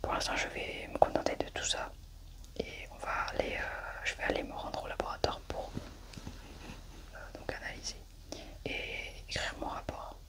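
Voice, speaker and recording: A woman doing a soft, hushed ASMR medical roleplay, narrating her own actions unhurriedly as an examiner. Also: normal speed.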